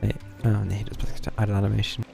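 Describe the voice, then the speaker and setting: A man's voice: lowish voice, completely flat delivery, quick pace, gravelly. A man giving casual, dryly wry, muttered commentary as he streams a video game. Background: video game music.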